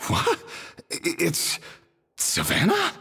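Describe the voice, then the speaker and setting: Low-voiced, very expressive judge. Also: female voice, slow pace, vocal fry, slightly rough, long reverb tail, exaggerated voice. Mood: shocked. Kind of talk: video game voice acting. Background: music.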